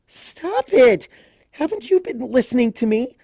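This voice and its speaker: Shy girl pegasus pushed to shrill, protective yelling, high and husky, performed by a storybook narrator. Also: sing-song, unhurried, no echo, clean studio sound, exaggerated voice. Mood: angry pleading. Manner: shrill, pleading, emphatic shouting. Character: shy young female pegasus pony, upset and fiercely protective.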